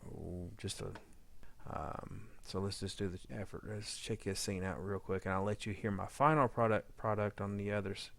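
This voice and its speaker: A man giving an informal audio editing walkthrough, halting and rambling as he thinks aloud. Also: lowish voice, steady tone, vocal fry, a bit bright, no echo. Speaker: audio drama editor giving a demo. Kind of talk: informal software demo walkthrough.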